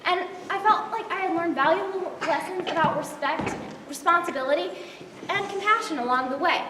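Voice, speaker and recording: A woman's voice: very high-pitched, normal speed. A young woman on stage playing a flustered teen girl babysitter, narrating her evening in an earnest, reflective way. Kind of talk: amateur stage play. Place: large hall stage. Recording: echoey hall from far off, background hiss.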